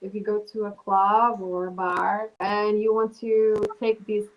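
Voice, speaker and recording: A woman's voice: mid-range voice, unhurried, muffled recording. Chatty young woman from Chile. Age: 20s. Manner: relaxed, chatty, frequent fillers. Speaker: guest. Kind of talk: casual interview answers.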